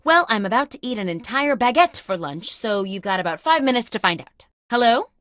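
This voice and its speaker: A woman's voice: a little high, very animated, brisk, fairly bright tone, no echo, studio quality. A woman playing a clueless, self-important office boss in a comic video game, with a bossy, theatrical delivery. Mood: curt, bossy. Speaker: video game character host. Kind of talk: comic scripted phone monologues.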